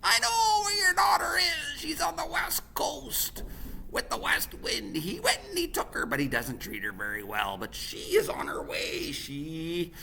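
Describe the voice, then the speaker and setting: A man telling a story and voicing a male eagle who brings urgent news in a high, shouting voice. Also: huge pitch swings, unhurried, vocal fry, a little hiss or hum, exaggerated voice, rough recording. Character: far-seeing male eagle messenger, urgent.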